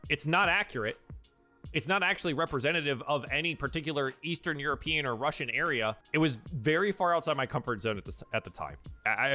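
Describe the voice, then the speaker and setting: A man's voice: medium pitch, pushed and bright. A man hosting a casual livestream advice chat as a game master, speaking emphatically and repeating himself for stress. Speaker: host, professional dungeon master giving advice.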